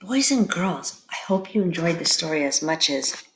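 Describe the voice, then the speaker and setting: A woman reading a picture book aloud to children like a teacher, expressive and pausing to put questions to young listeners. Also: sits low, roomy sound, noisy background. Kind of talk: children's read-aloud storytelling.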